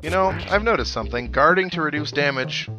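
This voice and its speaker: A man's voice: on the high side, lively intonation, quick pace. A man hosting a video game playthrough, giving casual commentary with wry, dry-humoured asides. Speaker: host of a gaming playthrough series. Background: video game music.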